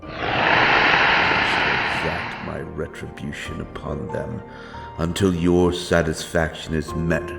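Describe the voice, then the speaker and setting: A man playing a grim, righteous wandering avenger in a dark fantasy audio drama, speaking in a solemn, archaic and deliberate way. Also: very deep voice, little pitch movement, vocal fry, music in the background, exaggerated voice, rough recording. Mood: commanding, vengeful.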